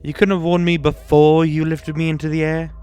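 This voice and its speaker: Man acting a clear-voiced, weary schoolboy wizard. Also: on the high side, flat delivery, fairly quick, a little mellow, soft background music, lightly acted. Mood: indignant. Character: Remus, weary practical teenage schoolboy wizard. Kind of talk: amateur fan-fiction audio drama acting.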